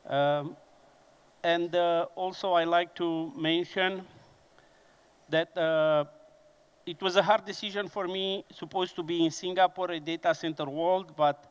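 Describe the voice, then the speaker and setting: Conference presenter: man in his 50s, Romanian accent, non-native English, slightly higher voice, unhurried delivery with many pauses. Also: smooth. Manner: unhurried and halting, with frequent fillers and restarts. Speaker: conference speaker.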